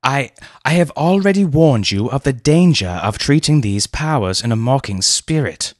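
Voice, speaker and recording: A pompous young gentleman from a storybook, slightly raised and stammering, read by a man. He sounds grave but shaky in a dry, close recording. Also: medium pitch, animated, normal speed, dry recording, voice pushed up, lightly acted. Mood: solemn. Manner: stammering, solemn.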